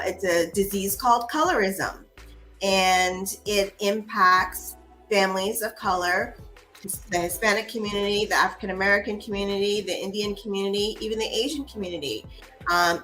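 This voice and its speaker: A young adult woman hosting a casual livestream talk and speaking in an earnest, measured way over a video call. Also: mid-range voice, pushed and bright, poor audio. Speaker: host.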